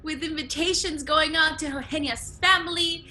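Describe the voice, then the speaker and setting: An actress playing a spirited, stubborn, playful young daughter in a dramatic play reading, breezy and theatrical. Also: female voice, high-pitched, fairly even intonation, normal speed, bright, forceful tone. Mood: excited.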